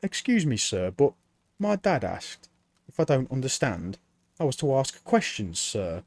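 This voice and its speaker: A man's voice: mid-range voice, big pitch swings, measured pace, gravelly, dry recording, heavily acted. A man voicing Mort, a nervous, earnest young apprentice, with hesitant, stammering, polite delivery. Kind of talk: audiobook character reading.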